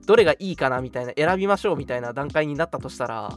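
A man's voice: on the high side, expressive, rapid-fire. A young man hosting a casual radio chat, talkative, explaining things with asides and little acted-out remarks. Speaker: radio show host. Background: background music.

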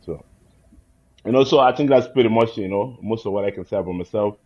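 A man with a low, husky voice shares his views in a casual roundtable. He is earnest and reflective, often checking that he is followed. The audio is a dull, lo-fi online call. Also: fast talker. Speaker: roundtable guest.

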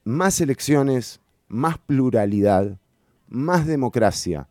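A man's voice: medium pitch, animated, slow, mellow, no room sound, studio quality. A man hosting a radio show, giving emphatic political opinion commentary and repeating key phrases for stress. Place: radio studio.

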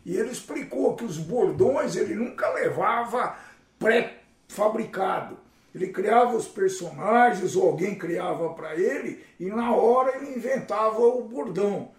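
A man with a Brazilian accent in casual, animated chat as a panelist on a talk show. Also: high voice, a little slow. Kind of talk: casual chat with acted catchphrase quotes. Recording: roomy echo, distant from mic.

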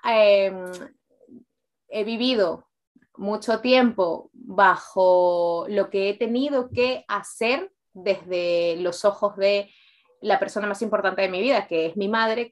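A woman in her late twenties with a Peruvian accent, telling her personal story candidly and conversationally as an interview guest, often repeating words for emphasis. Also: medium pitch. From Peru.

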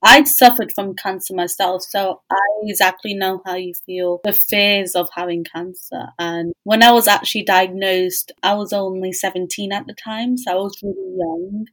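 A woman's voice: medium pitch, a bit bright, poor audio. A woman hosting a casual podcast interview, responding in a sympathetic, informal way. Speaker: podcast host.

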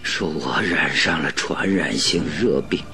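An actor playing a gravely ill, stubborn detective in a radio drama, with a weak, breathy, halting voice. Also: male voice, extremely low pitch, over music, exaggerated voice. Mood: laboured pleading. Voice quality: breathy, raspy, weak whisper. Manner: halting, laboured, pleading.

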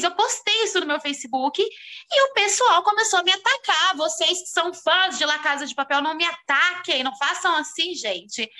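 A woman with a Brazilian accent chatting casually, telling her side in an animated, mock-indignant way. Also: high-pitched. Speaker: actress on the show. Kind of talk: casual chat.

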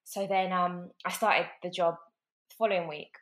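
Young woman with a london accent, kinda low voice, chatty and animated, lots of fillers, remote call audio. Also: moderate pace. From London, England. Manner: chatty, animated storytelling with fillers and quoted thoughts. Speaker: football agent, guest. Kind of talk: casual storytelling interview. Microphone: remote call recording.

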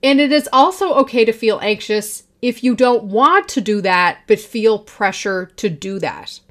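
Woman podcast host, forties, confident projecting voice, emphatic, fairly high pitch. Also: measured pace, fairly bright tone. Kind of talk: solo podcast monologue.

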